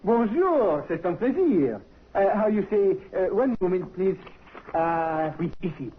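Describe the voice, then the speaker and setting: A high-voiced frenchman in broken english, all bowing and scraping, tinny old radio. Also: animated, a little hiss or hum. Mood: ingratiating. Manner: halting broken English, fawning politeness. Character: short excitable French inventor, poisoner. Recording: tinny vintage radio broadcast.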